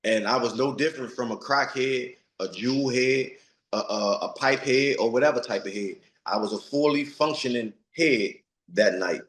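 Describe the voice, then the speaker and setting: A man's voice: medium pitch, normal speed, a bit bright, echoey room. A man of forty, a retired boxer, giving blunt, streetwise interview answers and repeating himself for emphasis. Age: 40s.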